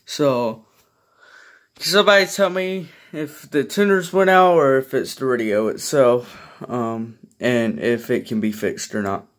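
A man talks slowly and casually at a middling pitch, as a hobbyist vlogger would. He rambles and hesitates, often letting his sentences trail off, recorded on a handheld camera in a small bedroom. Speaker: hobbyist vlogger showing a collection. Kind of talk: casual rambling chat to viewers. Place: small carpeted bedroom, indoors. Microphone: handheld phone or camera held by the speaker.

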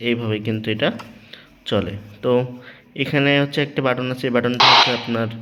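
A man's voice: medium pitch, fairly even intonation, fairly quick, bright, forceful tone. A man with a Bangladeshi accent giving an informal hands-on tutorial, explaining step by step, repeating himself and often checking that listeners follow. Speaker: product demonstrator. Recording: noticeable background noise, small clicks.

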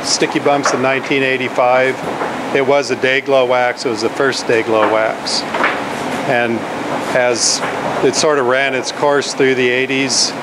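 A man in his sixties, a company owner, giving a steady, matter-of-fact tour of his factory with frequent pauses, filmed on a nearby camera. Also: medium pitch. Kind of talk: explanatory walking tour. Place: large indoor factory and warehouse. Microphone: handheld camera close by. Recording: factory noise under a camera mic. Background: loud machinery and air-conditioning hum.

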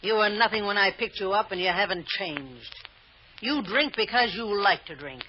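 An actress playing a domineering, cold-blooded villainess in an old-time radio drama, with a sharp, sneering, commanding delivery. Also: female voice, medium pitch, expressive, moderate pace, natural voice. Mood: contemptuous. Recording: muffled, noisy old radio recording.

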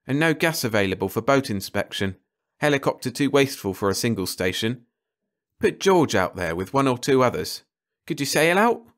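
A man voicing a brisk, enthusiastic, absent-minded ecologist in clipped phrases for an audiobook. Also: medium pitch, very animated, a bit bright, voice pushed up. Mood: brisk, businesslike. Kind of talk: audiobook character dialogue.

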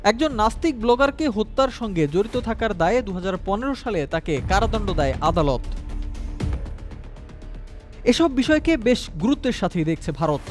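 A man with a Bangladeshi accent narrating a scripted news report in a formal, measured manner. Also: high voice. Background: background music.

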